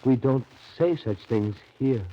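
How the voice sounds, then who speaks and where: An actor playing a frantic, devoted Russian camp follower with a husky voice in a 1930s radio drama. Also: male voice, medium pitch, a little slow, close to an everyday voice. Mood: hushed warning. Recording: muffled 1930s radio broadcast.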